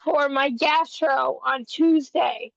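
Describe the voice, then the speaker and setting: High-voiced sniffly woman podcaster. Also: takes it slowly, vocal fry, a bit bright, no room sound. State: sniffly, runny nose. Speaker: podcast co-host. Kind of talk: casual chat. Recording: slightly dull, lo-fi audio.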